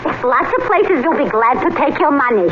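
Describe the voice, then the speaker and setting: An actress playing a scornful, fed-up immigrant wife, nagging and sarcastic in old-time radio drama style. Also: female voice, high-pitched, animated, moderate pace. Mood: scornful, irritated. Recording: muffled, noisy old radio recording.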